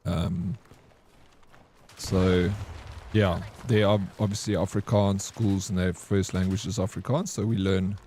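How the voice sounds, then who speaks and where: A South African man, a gaming streamer, chatting casually and haltingly with viewers while he plays. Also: deep voice, little pitch movement, dark tone, a little hiss or hum. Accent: South African English. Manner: rambling and hesitant, full of ums and restarts.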